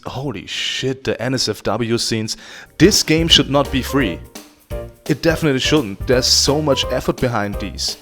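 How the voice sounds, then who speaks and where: A man giving a casual, profane game review in an animated, theatrical, self-deprecating way. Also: medium pitch. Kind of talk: casual, profane review commentary over gameplay. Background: background music.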